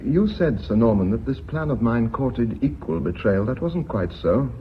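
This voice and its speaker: A man's voice: medium pitch, expressive, normal speed, lightly acted. A man playing a deferential but shrewd bookkeeper in a British radio drama, speaking politely and precisely with a British accent. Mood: quietly confident. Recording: muffled, noisy old radio recording.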